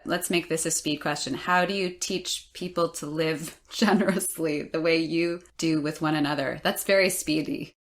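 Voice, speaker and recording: Woman cohost on a podcast, slightly low voice, casual interviewing. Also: fairly even intonation, moderate pace, hardly any pauses. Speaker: podcast co-host.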